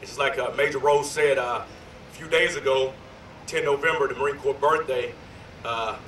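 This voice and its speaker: A man in his forties whose voice is a little hoarse speaks loosely and energetically, full of fillers, as he addresses an outdoor ceremony. His voice reaches the mic from a distance, with echo. Faint background noise sits underneath. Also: mid-range voice, fairly even intonation, normal speed, a bit bright. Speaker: sergeant major giving a history talk. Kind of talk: ceremonial speech.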